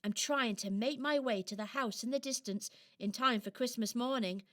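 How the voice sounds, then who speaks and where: A woman's voice: a little high, normal speed, fairly bright tone, lightly acted. A woman acting an eager, brave, cheerful little toy present in a children's radio play. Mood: cheerful, hopeful.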